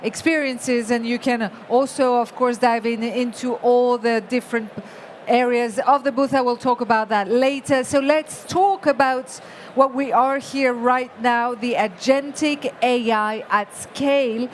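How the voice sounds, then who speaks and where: A woman in her forties hosts from a stage in a big expo hall, her voice high and bright in pitch. She rouses the audience with lively energy. Faint crowd noise hums behind her. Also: a little slow. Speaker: stage host. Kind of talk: event hosting.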